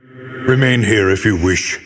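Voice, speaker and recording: A long, cavernous reverb surrounds a brooding gothic game narrator. His low voice moves very slowly and deliberately through each phrase. The delivery is grave, portentous and theatrical, as befits a solemn teller of horror. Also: a bit bright, soft background music. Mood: solemn, reproachful. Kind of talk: scripted dramatic narration.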